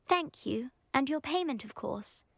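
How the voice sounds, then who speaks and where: A high-voiced, snobbish British schoolgirl. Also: animated, a little slow, creaky, full character voice. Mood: smug, pleased. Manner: pompous, affectedly genteel. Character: snobbish, vain teenage schoolgirl entrepreneur, sugary and scheming. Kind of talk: video game character acting.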